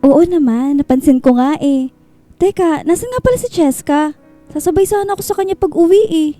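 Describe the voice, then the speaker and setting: A woman's voice: sits high, fairly quick, dark tone, soft background music, natural voice. A woman playing a bashful, conscientious young female office manager in a Filipino radio drama, exclaiming and playfully protesting. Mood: shy, friendly.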